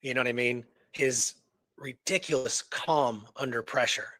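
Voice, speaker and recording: A man's voice: mid-range voice, unhurried, a bit bright, no echo. A man co-hosting a radio show, telling a story in a casual, digressive way and often checking in with the listener. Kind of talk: casual conversational storytelling and discussion.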